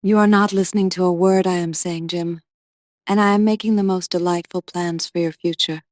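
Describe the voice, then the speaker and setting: A man's voice: high voice, fairly even intonation, brisk, no echo, studio quality, pitched above the performer's own voice, lightly acted. Man voicing a light, sweet, pleading young girl. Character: graceful, affectionate, dreamy young girl.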